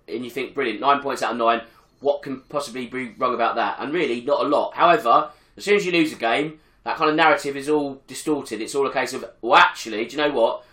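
A man hosting a football podcast, hoarse from a chest infection, talking in an upbeat, chatty way. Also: medium pitch, pushed and bright, echoey room. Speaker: podcast host. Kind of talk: casual football podcast chat.

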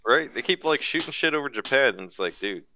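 A man's voice: mid-range voice, expressive, fast talker, background noise. A man co-hosting a podcast, blunt and sardonic in casual chat. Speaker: podcast co-host.

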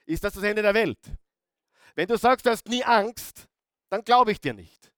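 A man's voice: high-pitched, expressive, moderate pace, fairly bright tone, no echo, clean studio sound. A man preaching a sermon as a pastor, putting rhetorical questions to his listeners and repeating key phrases with emphasis.

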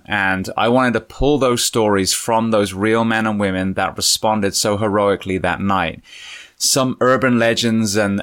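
A man hosting a podcast interview, speaking in an earnest, measured way with some fillers and restarts. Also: lowish voice, a bit bright. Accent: British. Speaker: podcast host. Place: home.

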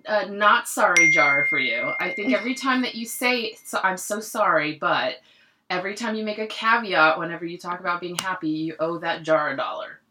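Teasing woman co-host with vocal fry. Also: lowish voice, pushed and bright, slight background noise. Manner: bossy, teasing, quick to interject. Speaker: podcast co-host. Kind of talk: casual podcast chat.

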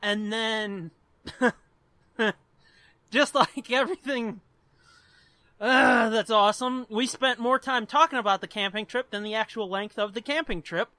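A man with a high, bright voice talks as a podcast co-host in a close, dry recording. His delivery is casual and unpolished, with plenty of swearing. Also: dry recording. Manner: informal, swears often.

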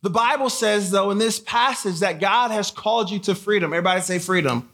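A man's voice: high-pitched, a little fast, a bit bright. An American man preaching conversationally to a live audience of teens, casually repeating phrases and putting questions to his listeners. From born in Haiti, grew up in Michigan. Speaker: guest camp speaker, preacher.

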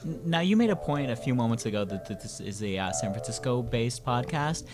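A man on a comedy podcast, talking in a halting, mock-earnest way full of fillers. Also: mid-range voice, animated, music in the background. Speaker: podcast co-host. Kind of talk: improvised comedy podcast banter.

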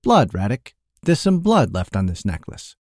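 A man's voice: fairly low pitch, huge pitch swings, normal speed, darkish tone, no echo, studio quality. A man voicing a blunt, crude, skeptical middle-aged male shopkeeper who talks with incredulity in a storybook reading. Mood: gruff, incredulous.

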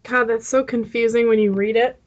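A woman's voice: a little high, fairly even intonation, moderate pace. A woman co-hosting a podcast in casual chat, recorded with a slightly dull, lo-fi sound. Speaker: podcast co-host.

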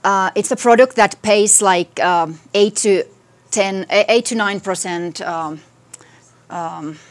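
A woman's voice: mid-range voice, takes it slowly. A woman from Finland with a Finnish accent, speaking English as a second language in an interview, hesitant and searching for words as a parliamentary candidate. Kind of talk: interview answers.

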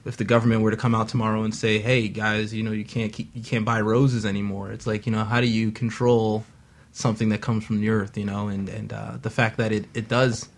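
A man's voice: lowish voice, flat delivery, a little fast. A man on a talk radio panel, rambling casually with lots of fillers. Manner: rambling, full of "you know", joking.

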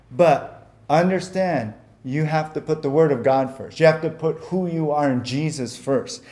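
A man's voice: fairly high pitch, a little mellow. A man preaching a sermon as pastor, earnest and conversational, often repeating words and using fillers, whose first language was Japanese.

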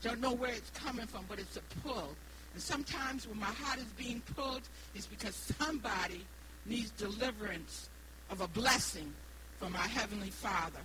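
A woman in her sixties with a New England accent preaches haltingly, repeating phrases and stressing key words. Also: a little high. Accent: New England American. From Rhode Island, United States. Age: 60s. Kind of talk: evangelical sermon with personal testimony. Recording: noisy live recording with hum.

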